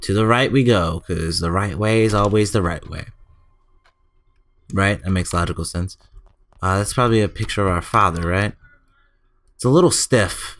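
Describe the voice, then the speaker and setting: A man's voice: deep voice, moderate pace, pauses often, fairly bright tone, soft background music. A man giving casual, chatty let's play commentary and reading game text aloud, stumbling now and then. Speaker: gaming channel host.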